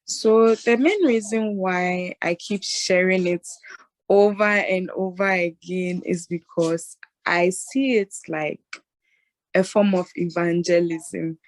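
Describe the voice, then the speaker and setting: Young woman from Ghana, low-pitched, dry close sound, earnest interview guest. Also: slow pace, dry recording. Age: 20s. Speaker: guest, mental health advocate. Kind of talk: casual interview conversation.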